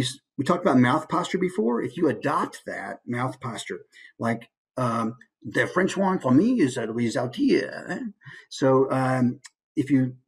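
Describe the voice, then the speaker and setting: A man with a mid-pitched voice presents as a voice actor. His delivery is informal and instructional. He is animated and likes to show his points vocally. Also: vocal fry, low-quality recording.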